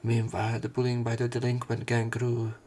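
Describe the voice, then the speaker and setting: A man's voice: mid-range voice, completely flat delivery, brisk, darkish tone, a little hiss or hum. A man narrating a visual novel as a let's player, reading the story and lines aloud.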